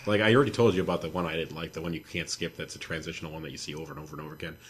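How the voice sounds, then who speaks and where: Young male podcast co-host, lower register, sardonic, sweary rants, loose conversational delivery. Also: racing. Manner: sardonic, ranting, profane. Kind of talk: casual gaming chat.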